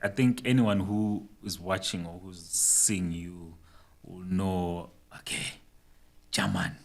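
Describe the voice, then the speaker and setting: A man hosting a podcast in a relaxed, chatty way, mixing Ndebele and English. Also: sits low, takes it slowly. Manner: relaxed, chatty, laughs easily. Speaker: podcast host.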